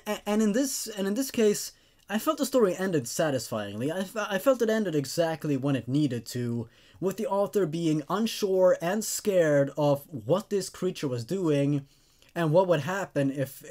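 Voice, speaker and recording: A man hosting a casual online story review, talking to viewers in a halting, stammering way with repeated words. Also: a little high, a little mellow. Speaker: host of a horror story review show.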